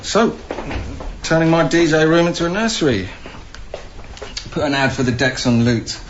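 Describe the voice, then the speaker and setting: An actor playing an earnest, harried young British council housing officer in a naturalistic radio drama. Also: male voice, a little high, animated, normal speed, lightly acted. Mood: wry, weary. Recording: muffled radio recording, noisy ambience.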